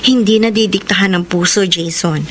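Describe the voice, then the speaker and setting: A woman's voice: medium pitch, normal speed, lightly acted. An actress playing an anguished, abandoned young woman in a Filipino radio drama, plaintive and sincere. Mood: sad, resigned. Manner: plaintive, sorrowful delivery. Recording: clearly noisy radio drama recording.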